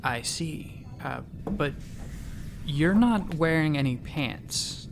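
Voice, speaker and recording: A man's voice: a little high, lively intonation, slow pace, noisy background. A man playing a polite, hesitant young space-station doctor with dry incredulity, in a sci-fi comedy audio drama. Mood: awkward, polite. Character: polite, flustered newly arrived male station doctor.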